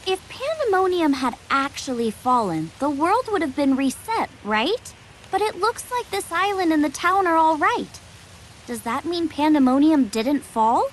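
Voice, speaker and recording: An actress playing an inquisitive young crew member who reasons aloud in a game. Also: female voice, sits high, big pitch swings, measured pace, a little hiss or hum, low-quality recording. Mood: puzzled. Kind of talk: English game dub cutscene.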